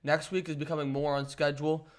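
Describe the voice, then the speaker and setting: A man's voice: a little high, flat delivery, fast talker. Upbeat man co-hosting a casual podcast. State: a bit under the weather. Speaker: podcast co-host. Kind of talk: casual chat. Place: apartment in a big city.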